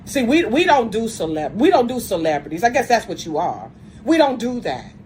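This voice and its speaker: A woman's voice: a little high, big pitch swings, normal speed, a little mellow, a little hiss or hum. Confrontational woman ranting to camera. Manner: confrontational, blunt, stumbling restarts; says she has a strong voice. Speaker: video commentator. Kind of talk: angry rant addressed to camera.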